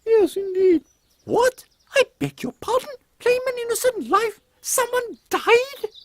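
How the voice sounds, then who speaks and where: A woman's voice: very high voice, sing-song, a little slow, heavily acted. A woman playing a regal, inquisitive lady in a comic Victorian audio drama, speaking in a prim, formal way. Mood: startled.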